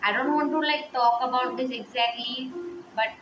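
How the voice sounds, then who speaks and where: A woman's voice: a little high, steady tone, normal speed, muffled recording. A woman in her twenties talking through personal worries in a rambling, hesitant vlog, heavy on fillers. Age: 20s. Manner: quietly upset, reflective. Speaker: vlogger. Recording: echoey room with music playing behind.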